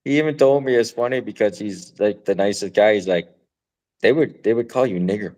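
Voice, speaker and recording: A man with a very deep voice co-hosts a podcast in easy, rambling conversation. He swears casually and laughs a lot as he talks. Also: a little fast, a little mellow. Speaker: podcast co-host. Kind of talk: casual chat.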